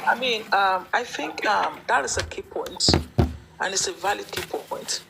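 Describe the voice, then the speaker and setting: A woman's voice: medium pitch, unhurried, vocal fry, very noisy. A woman giving her opinion in an online discussion, emphatic and lecturing, with many fillers. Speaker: panelist.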